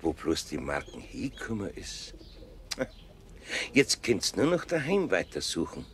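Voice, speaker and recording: An actor playing an older master carpenter with a Bavarian accent, good-natured and slyly teasing, in a children's radio play. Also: male voice, deep voice, huge pitch swings, a little slow, slight background noise, heavily acted.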